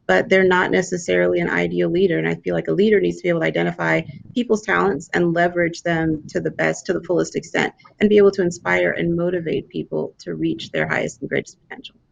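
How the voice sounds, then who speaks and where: Very creaky-voiced woman on a panel. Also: on the low side, little pitch movement, fast talker, few pauses, highs cut off, a little hiss or hum. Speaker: panelist, corporate leader. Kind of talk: thoughtful panel talk.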